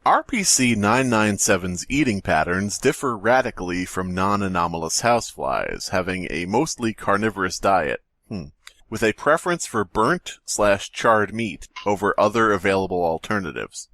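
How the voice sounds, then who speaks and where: A man's voice: lowish voice, animated, moderate pace, fairly bright tone, no echo. A man co-hosting a comedy podcast, reading aloud and breaking off into joking, incredulous asides in casual chat. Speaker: podcast co-host.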